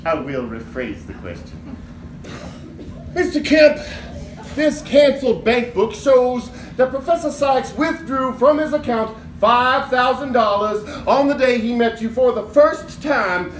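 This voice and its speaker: A man on stage playing a sly, bombastic prosecutor with sneering, drawn-out courtroom oratory. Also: very high voice, animated. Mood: accusing, bombastic. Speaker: actor in a stage play. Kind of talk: stage adaptation of a 1950s radio drama. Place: live theatre stage. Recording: echoey theatre with background noise.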